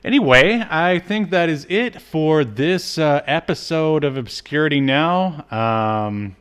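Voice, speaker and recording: A man's voice: a little high, expressive, takes it slowly, fairly bright tone, clear, resonant tone. A man co-hosting a podcast in a casual, joking livestream chat, poking fun at himself. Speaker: podcast co-host.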